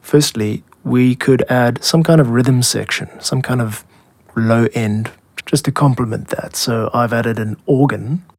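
A man's voice: mid-range voice, a little slow, darkish tone, no room sound. South African man, dry close podcast narration. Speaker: podcast host, music composer and producer. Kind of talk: scripted explanatory podcast narration.

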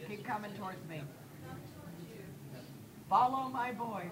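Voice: medium pitch, slow. An event organizer in a meeting hall directs people and calls out names from a distance. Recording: distant voice over a crowd.

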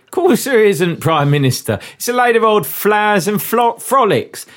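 A man's voice: high voice, sing-song, moderate pace, voice pushed up. A man voicing a cheerful, slang-spouting Cockney sidekick in a comic audio drama, with an exaggerated, unconvincing accent. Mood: breezy, dismissive. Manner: blustery, rhyming slang.

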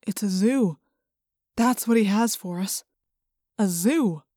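A woman's voice: medium pitch, takes it slowly, warm tone, dry recording, studio quality. A woman voicing a bitter, defiant teenage boy in an audiobook, terse and angry.

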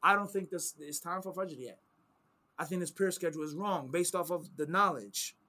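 A man teaching religious principles in a sit-down conversation, his voice pitched a little high and pushed with a tense, forceful edge. He leans on key phrases, repeating them for weight, and weaves in Arabic quotations as he explains. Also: normal speed. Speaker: Islamic teacher answering questions.

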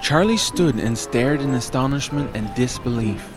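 A man's voice: medium pitch, expressive, moderate pace. Male narrator, wry storyteller, mid-range voice, music bed behind him. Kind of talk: scripted audio drama narration.

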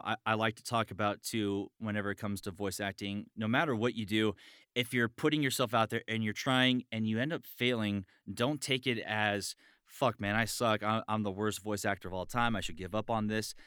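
A man's voice: on the low side, normal speed, fairly bright tone, clear, resonant tone, no room sound, clean studio sound. A man chatting casually as host and voice actor, with an enthusiastic, encouraging, sweary manner. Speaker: interview show host and voice actor. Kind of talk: casual chat about voice acting.

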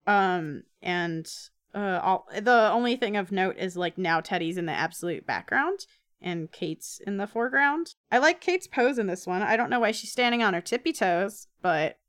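A woman's voice: mid-range voice, animated, normal speed, a bit bright, clean tone. A woman co-hosting a podcast, chatting casually through a comic book recap with playful, jokey asides. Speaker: podcast co-host.

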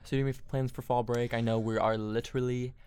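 A woman's voice: a bass voice, flat delivery, fast talker, noisy background. A young woman co-hosting a podcast in casual chat, enthusiastic and supportive. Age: young adult. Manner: enthusiastic, supportive back-channeling. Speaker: podcast co-host.

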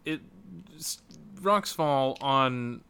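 A man co-hosting a casual gaming podcast, speaking in a halting, hesitant way. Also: medium pitch, animated, pushed and bright, smooth. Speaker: podcast co-host. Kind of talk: casual video game podcast chat.

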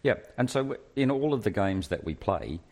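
Deep-voiced man reasoning through a point. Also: animated, moderate pace, darkish tone. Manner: reasoned, stammering restarts. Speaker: player. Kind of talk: casual chat.

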